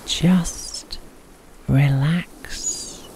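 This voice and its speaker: A woman narrating a guided sleep meditation in a soft, breathy near-whisper, leaving long pauses between short phrases. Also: sits low, steady tone, a little hiss or hum, rough recording.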